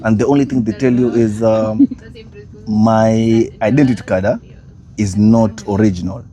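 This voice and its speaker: A man's voice: lowish voice, fairly even intonation, normal speed, warm tone. A man from Kenya, hosting a casual chat, talking in a loose, hesitant way with many fillers. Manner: chatty, hesitant, many uh and yeah. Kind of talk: casual street conversation. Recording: crowd noise behind.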